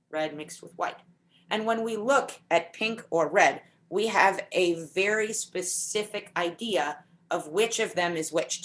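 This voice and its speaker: A woman giving a conference talk in a deliberate, explanatory way, slowing down to stretch key words. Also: on the low side, fairly bright tone. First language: English. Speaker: conference speaker. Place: home setup for a virtual conference.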